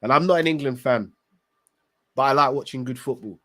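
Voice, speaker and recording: A man's voice: medium pitch, brisk, sharp tone. Congested man giving football opinions. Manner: opinionated, emphatic. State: congested from a cold. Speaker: football channel host. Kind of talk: casual recap monologue.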